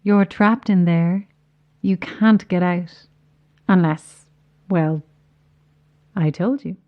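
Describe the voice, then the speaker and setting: An actress playing a cold, taunting caller over a radio in a horror audio drama, measured and ominous. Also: female voice, on the low side, muffled recording. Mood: quiet menace. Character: cold, menacing female caller, insistent and taunting.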